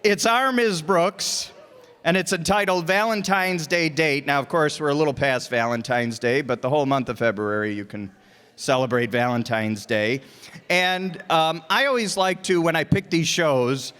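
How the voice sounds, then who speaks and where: A man's voice: fairly high pitch, normal speed, a bit bright, smooth. A man chatting as host, giving a live introduction to a radio play. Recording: echoey hall with long reverb.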